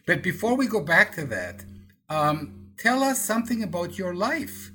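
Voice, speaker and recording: A man's voice: high voice, very animated, normal speed, bright, forceful tone. A man interviewing a guest in a playful, teasing way, often stumbling and restarting his questions.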